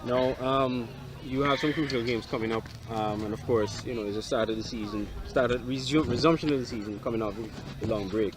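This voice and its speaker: A man's voice: mid-range voice, steady tone, a little fast, hardly any pauses, noisy background. A man working as a sports reporter, asking informal interview questions in a hesitant, rephrasing way.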